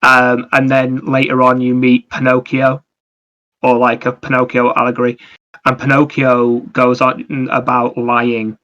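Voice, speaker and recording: A man's voice: mid-range voice, monotone, a little slow, pushed and bright, muffled recording. A man chatting casually about video games as a podcast host, in a rambling, anecdotal way.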